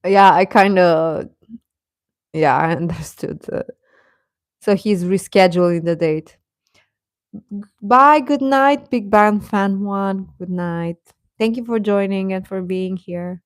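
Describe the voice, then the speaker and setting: A woman's voice: medium pitch, slow pace, pauses often, no room sound, clean studio sound. A woman chatting casually as a livestream host, talking directly to viewers and often repeating her phrases.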